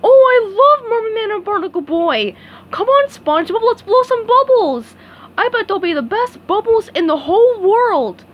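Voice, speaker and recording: Brief: woman playing a dopey starfish guy, slow and loud, lively pitch. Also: lo-fi sound, exaggerated voice. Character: dim, slow, sweet male starfish.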